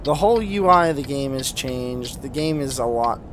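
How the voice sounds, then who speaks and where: A man's voice: medium pitch, lively intonation, normal speed, a bit bright, slight background noise. A man giving casual gameplay commentary as a video host, rambling and sweary with an enthusiastic manner and a slight speech impediment. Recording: music playing behind the voice.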